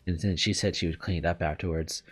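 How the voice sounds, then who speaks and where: A man's voice: low voice, fairly even intonation, fast, breathy edge. A man narrating a visual novel as a let's player, sometimes stumbling and rereading words. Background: music.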